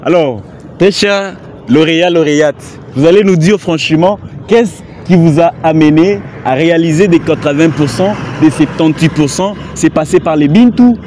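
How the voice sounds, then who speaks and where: A man's voice: on the high side, moderate pace, darkish tone, slight background noise. A man working as a journalist, formally introducing guests and asking them questions. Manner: formal, addressing viewers and guests. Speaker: journalist, co-host.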